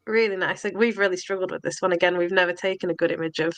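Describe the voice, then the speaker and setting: A woman's voice: on the low side, steady tone, very fast, fairly bright tone, no room sound. A woman co-hosts a casual live chat, her voice nasal and thick with a cold. She laughs often and talks in a loose, friendly way between remarks. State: stuffy with a cold. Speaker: co-host.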